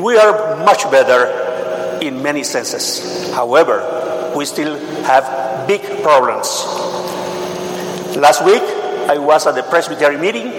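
A Mexican-accented man with a high voice talks to a congregation in a slow, earnest way, with touches of humor. He often stops and repeats words as he speaks. The sound is roomy with a long echo and steady noise under it. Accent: Mexican Spanish. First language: Spanish. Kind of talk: church talk appealing for support. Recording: echoey hall with steady noise.

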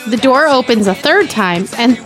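Higher-voiced woman reading stage directions. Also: expressive, normal speed, a bit bright, soft background music. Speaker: guest reader of stage directions. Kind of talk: screenplay narration at an informal table read.

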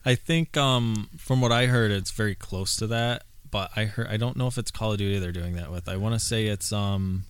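A man with a fairly low voice, talking loosely and rambling as a podcast co-host. His speech is casual and full of fillers. The sound is close and dry. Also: normal speed, hardly any pauses, clean tone, no room sound. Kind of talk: casual chat.

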